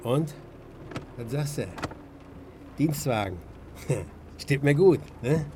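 A man's voice: medium pitch, animated, takes it slowly, background noise. A man playing a showy working-class chauffeur in a radio drama, talking colloquially and in exclamations. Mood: boastful, excited. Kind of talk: German radio drama acting.

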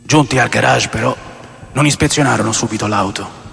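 An actor playing a restless, world-weary man who recounts wild rock-and-roll memories in a gravelly, theatrical radio drama narration. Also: mid-range voice, fairly quick, fairly bright tone. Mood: low, reflective. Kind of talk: dramatic first-person scripted narration. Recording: long studio reverb under music. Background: music.

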